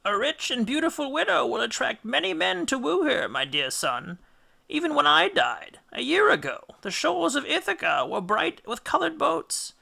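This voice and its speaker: Man voicing a high, mournful ghostly mother. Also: very animated, moderate pace, creaky, sharp tone, exaggerated voice. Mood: reproachful, fretful. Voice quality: high falsetto, thin. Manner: plaintive, questioning.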